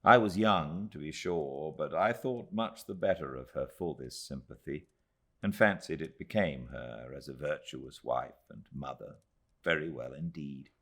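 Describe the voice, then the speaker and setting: A British man narrating a classic novel as an audiobook, expressive, with measured and dramatic phrasing. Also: very deep voice. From Britain.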